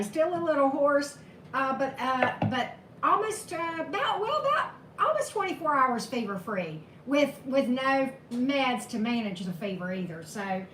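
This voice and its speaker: A woman with a high, slightly hoarse voice talks casually as a tarot reader on a live stream, greeting her viewers one by one. She is chatty and laughs at her own corny jokes. The sound has a roomy echo and clear background noise. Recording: echoey room with steady background noise.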